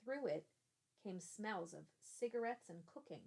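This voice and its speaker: Casting: female teacher narrating a children's book, unhurried, some vocal fry, expressive storyteller. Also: mid-range voice. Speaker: teacher reading aloud.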